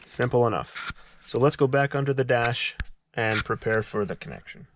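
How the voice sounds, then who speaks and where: Male DIY host, mid-pitched, casual explanatory delivery, slight background noise. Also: moderate pace. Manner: methodical, explanatory. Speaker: host of a DIY car repair tutorial. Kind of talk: casual hands-on tutorial narration.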